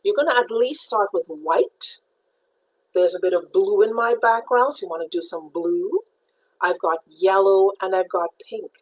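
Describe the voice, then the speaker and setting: A woman's voice: mid-range voice, normal speed, low-quality recording. A middle-aged woman from Trinidad teaching a live painting lesson, explaining supplies step by step and greeting viewers by name. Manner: patient, step-by-step instruction. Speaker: art teacher.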